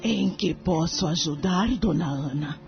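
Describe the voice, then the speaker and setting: Woman playing a slow, gentle old Brazilian healer. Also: sits low, gravelly. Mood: gentle, serene. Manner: gentle, devout, unhurried speech. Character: kindly humble older woman, spiritist medium and healer. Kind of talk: audio drama acting in Brazilian Portuguese. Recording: dull lo-fi audio with music behind.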